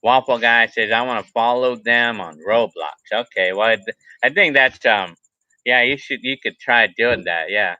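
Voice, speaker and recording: A man in his forties chats on a live stream and drifts from one viewer comment to the next. His tone is sharp and tense, and he keeps circling back over the same thoughts. The sound is dull and lo-fi, close and dry with no room around it. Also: medium pitch, moderate pace, no echo. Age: 40s. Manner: rambling and repetitive, restarts phrases. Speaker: livestream vlogger. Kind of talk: casual live chat reading viewer comments.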